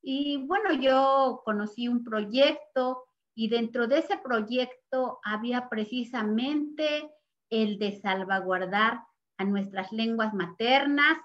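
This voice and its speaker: An older Mexican woman, a native Nahuatl speaker, speaks with a Mexican Spanish accent in a slightly raised voice. She talks very slowly and earnestly, pausing often as she looks back on her life. The recording sounds muffled and lo-fi. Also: on the high side. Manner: measured and earnest, pausing with soft fillers. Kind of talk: slow, reflective interview testimony.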